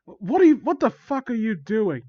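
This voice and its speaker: A frightened male victim, acted out by a man reading fan fiction, pitched high and loud. He is panicky and indignant, stammering and pleading. The recording is a little dull. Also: big pitch swings, normal speed, dry recording.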